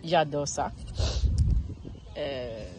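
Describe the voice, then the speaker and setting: A woman's voice: low-pitched, drawn-out words, background noise. A woman, recording a casual practice monologue outdoors in a park, searching for words and restarting often. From Ghana. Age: 30s. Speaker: vlogger learning French.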